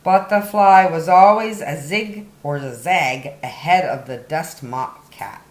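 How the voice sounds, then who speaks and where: A woman hosting story time, reading a picture book aloud to young children with deliberate, dramatic emphasis on key words. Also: sits low. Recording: roomy, echoey indoor sound.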